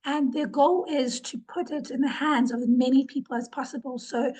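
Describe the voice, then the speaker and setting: Hoarse, high-voiced woman presenting. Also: steady tone, normal speed, darkish tone. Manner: earnest, repeats words for emphasis, with fillers. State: ill, losing her voice. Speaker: webinar presenter, organization director. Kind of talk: presentation talk.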